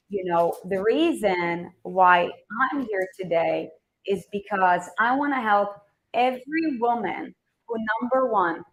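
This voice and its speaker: An earnest woman, a Hebrew speaker, leads a motivational livestream as a wellness coach. Her voice sits at an ordinary middle pitch, and she often says a point twice to drive it home. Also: a little hiss or hum, poor audio. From Israel. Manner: earnest, repeats herself for emphasis.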